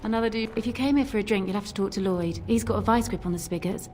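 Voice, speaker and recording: A woman's voice: mid-range voice, quick pace, a little mellow, a little hiss or hum, lightly acted. An actress playing a tired young barmaid who is guarded and resentful of her boss. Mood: weary. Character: weary young barmaid at a tavern. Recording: game audio over music. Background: game music.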